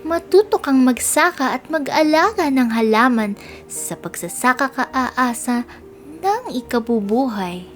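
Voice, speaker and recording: A Filipino-accented woman voicing cheerful, gentle field grasses that instruct in a melodious, earnest storybook manner. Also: high voice, sing-song, a little slow, soft background music, voice pushed up. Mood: cheerful, guiding.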